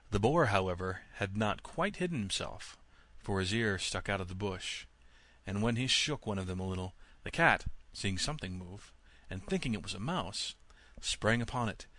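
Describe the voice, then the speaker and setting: A man reading a scripted fairy tale as an audiobook narrator, in an expressive, measured storytelling manner. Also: fairly low pitch.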